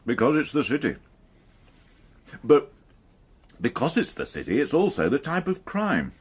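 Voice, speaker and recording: An actor playing a gloomy, middle-aged, dry-witted police inspector in a naturalistic radio drama. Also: male voice, mid-range voice, wildly swooping pitch, a little slow, husky, exaggerated voice. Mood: grumbling, wry. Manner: grumbling, understated, dryly sardonic.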